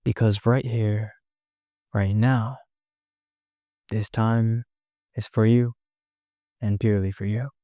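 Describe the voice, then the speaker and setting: A man leading a guided meditation in short, gently instructive phrases with long pauses between them. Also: fairly low pitch, little pitch movement, no room sound, clean studio sound.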